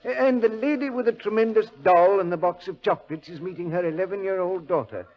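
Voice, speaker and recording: An actor playing a deferential, fretful railway station official who explains hesitantly, in 1940s radio drama style. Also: male voice, high voice, quick pace, lightly acted. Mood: deferential, informative. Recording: dull old radio recording.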